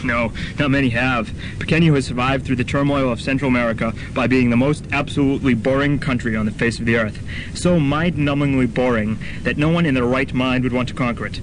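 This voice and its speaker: Formal, long-winded attorney, male actor, medium pitch, declamatory, student radio comedy with steady rumbly noise. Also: a little fast, natural voice. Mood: formal, insistent. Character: formal, long-winded male lawyer for tiny island nation.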